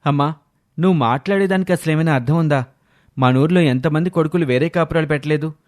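A man's voice: a little high, fast talker, a little mellow, lightly acted. A man playing a defiant, callous grown son who argues indignantly with his mother. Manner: argumentative, indignant.

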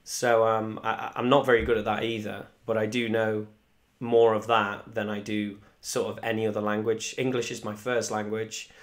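Young male musician hosting a live stream, rambling and chatty, fairly monotone, desk mic at home. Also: fairly low pitch, normal speed, few pauses. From Wales. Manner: chatty, rambling, reads viewer comments aloud. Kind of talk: casual live stream chat. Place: small room indoors at home. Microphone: desk microphone in front.

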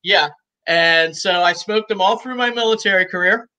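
A woman in her sixties, talking as a guest on a video call from home, chatty and easygoing and quick to laugh. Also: lowish voice, sharp tone. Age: 60s. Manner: talkative and easygoing, laughs often. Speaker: guest, cigar shop owner. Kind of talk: casual interview chat. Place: room at home.

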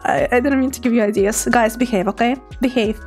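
Young woman VTuber, high-pitched, giggly and playful, casual chat with viewers at a home desk mic. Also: fairly even intonation, fairly quick, a little mellow, soft background music. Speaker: VTuber streamer. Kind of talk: casual chat reading chat messages.

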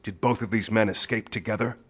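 Male for suspicious police interrogator, pulp audio drama; probing, insistent, fairly low pitch. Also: fairly even intonation, a little fast, breathy edge. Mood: urgent. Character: brisk, suspicious male police detective, hard-nosed interrogator.

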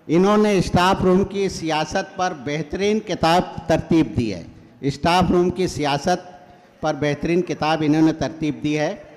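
Older guy at a podium mic announcing guests, formal polite, bit high voice, hall echo. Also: a little mellow. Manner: measured, courteous, reads from notes. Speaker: event emcee. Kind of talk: formal Urdu stage announcing. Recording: reverberant hall.

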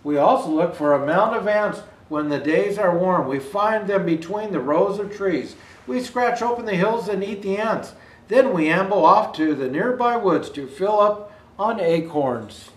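An older man reading a children's picture book aloud as a storyteller, with expressive, deliberate phrasing and the odd stumble. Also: high voice, far from the mic. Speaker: Santa Claus storyteller. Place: indoor room with Christmas decor. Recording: roomy indoor echo.

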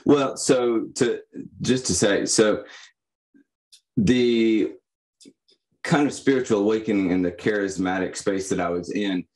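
Young guy with a really low voice on a podcast, thinking out loud, kinda halting. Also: steady tone, poor audio. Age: young adult. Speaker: podcast guest. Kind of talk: reflective personal interview answers. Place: indoor room, on a video call. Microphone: condenser microphone.

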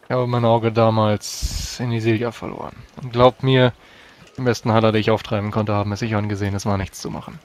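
A man role-playing at a tabletop game in formal, measured, courtly speech. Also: on the low side, flat delivery. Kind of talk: in-character role-play dialogue.

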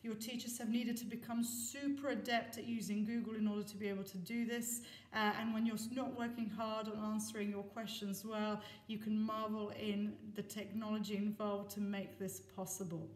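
A woman's voice: medium pitch, little pitch movement, moderate pace. A middle-aged woman, a deputy head, giving a prepared school assembly address to camera. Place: small office indoors with bare walls. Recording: echoey room, slightly distant mic.

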